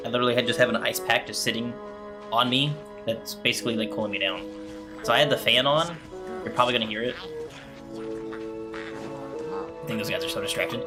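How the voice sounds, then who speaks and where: Chill guy streaming a game, rambling casual commentary, mid pitch, game music behind him. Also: brisk, pauses often. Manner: laid-back, rambling.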